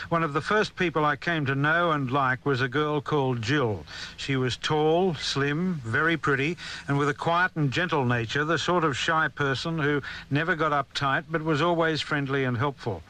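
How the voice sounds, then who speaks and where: News commentator: Australian male, fairly high and forceful voice, solemn and measured radio delivery. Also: rough recording.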